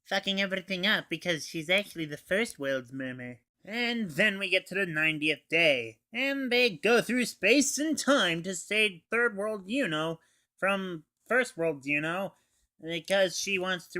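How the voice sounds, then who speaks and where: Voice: medium pitch, sharp tone. A teenage reviewer recapping a story in a casual, scripted style. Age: teen. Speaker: anime reviewer.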